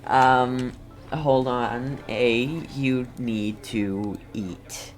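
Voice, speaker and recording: A player giving casual, halting commentary on a video game while playing, reacting in short murmured asides. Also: mid-range voice, fairly even intonation, soft background music. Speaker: game streamer. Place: voice-over on game footage, game music behind.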